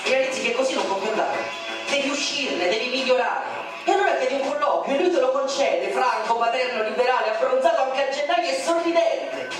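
A narrator reciting a poem in a measured, ironic way. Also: high-pitched. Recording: very echoey, distant, music behind.

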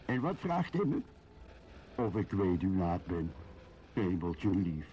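An actor playing a brooding, lonely middle-aged husband in a radio drama, musing and questioning himself wryly. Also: male voice, low-pitched, sing-song, slow pace, mellow, slight background noise, full character voice, low-quality recording. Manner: musing, self-questioning, wry.

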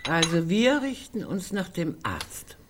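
An actress playing a caustic, morbid elderly sister in a radio play, speaking dryly and deliberately. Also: female voice, fairly low pitch, very animated, heavy background noise. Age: older. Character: sharp-tongued, dry, morbid elderly sister Greta. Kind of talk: naturalistic radio play acting.

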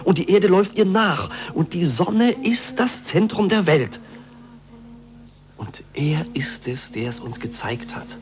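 Fervent disciple, swinging pitch. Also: male voice, lively intonation, measured pace. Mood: awed conviction. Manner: declamatory, impassioned, dropping to hushed tones. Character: fervent male disciple of a great scientist. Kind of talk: 1940s German radio play acting.

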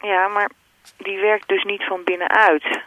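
A woman's voice: medium pitch, level tone, unhurried, pushed and bright, no room sound, dull audio. An actress playing a needy, teasing girlfriend with a coaxing way of talking, in a radio drama. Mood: playful. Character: needy, teasing girlfriend who stalls her departing boyfriend.

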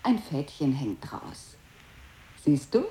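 An obsessive, teasing woman in experimental radio drama. She often whispers, very low and slow, yet her melody moves in wide curves. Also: huge pitch swings, warm tone, exaggerated voice. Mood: teasing, fascinated.

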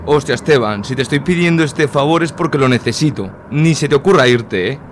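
A man's voice: mid-range voice, animated, a little fast, a little hiss or hum, lightly acted. A man playing a curt, irritable middle-aged family man in a radio serial, with an accent from Spain. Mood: irritated. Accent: Spanish from Spain. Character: grumpy conservative middle-aged family man, fed up and evasive.

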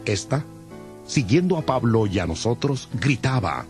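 Casting: male narrator, medium pitch, scripted biblical storytelling with musical backing. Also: big pitch swings, measured pace, darkish tone, soft background music. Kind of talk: scripted dramatic Bible narration.

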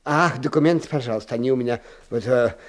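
An actor playing a fussy, absent-minded chemistry teacher in a radio play, muttering, stammering and repeating himself in a husky voice. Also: male voice, medium pitch, fast talker, lightly acted. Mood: flustered.

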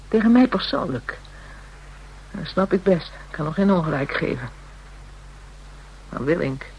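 An actress playing a brash, jaded, street-hardened prostitute in a Dutch radio play, coarse, scornful and frank. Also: female voice, low-pitched, breathy edge, full character voice, poor audio. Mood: bitter, frank. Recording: radio drama with background noise.

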